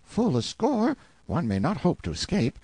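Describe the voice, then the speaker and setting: A man's voice: fairly high pitch, wildly swooping pitch, normal speed, a little mellow, slightly rough, no room sound, pitched above the performer's own voice, full character voice. A man voicing a timid, superstitious medieval page boy with archaic, pleading speech in an audiobook. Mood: earnest warning. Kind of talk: audiobook character acting.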